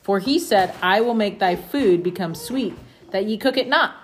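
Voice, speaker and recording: A woman's voice: medium pitch, lively intonation, normal speed. A woman reading scripture aloud to her family at home, informally, with casual asides. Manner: informal, breaking off to chat with children. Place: at home among family with children. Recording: noisy home recording with clicks.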